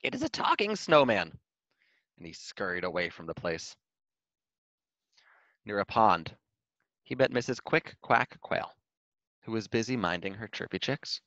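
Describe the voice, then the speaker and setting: A man's voice: fairly low pitch, a little slow, pauses often, fairly bright tone, no room sound, dull audio. A man reading a children's storybook aloud in scripted narration. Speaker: storybook reader.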